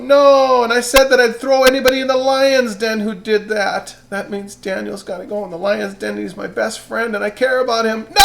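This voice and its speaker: A man doing a high, wailing vain king. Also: vocal fry, background noise. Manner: high, loud and anguished wailing. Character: vain, fretful old-time king, man.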